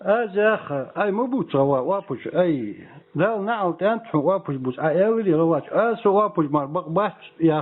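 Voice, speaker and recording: A man speaking natively, talking informally and argumentatively as a phone caller asking questions. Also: high-pitched. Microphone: telephone. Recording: tinny phone line, music behind. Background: music.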